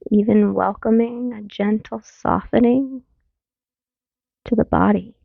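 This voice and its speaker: A woman mindfulness teacher leading a guided meditation in a gentle, soothing manner, leaving long pauses between phrases. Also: medium pitch, steady tone, creaky, no room sound. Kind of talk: guided meditation, body scan. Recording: narrow phone-like sound, very dry.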